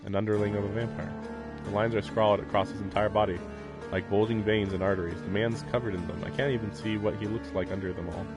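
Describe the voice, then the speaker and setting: A man's voice: lowish voice, fairly even intonation, fast talker. A man streaming a visual novel, reading the text aloud and adding casual commentary. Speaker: game streamer. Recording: game music behind the voice.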